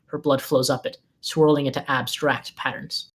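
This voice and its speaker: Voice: medium pitch, flat delivery, normal speed, a little hiss or hum. A narrator reading scene headings and stage directions at a screenplay table read.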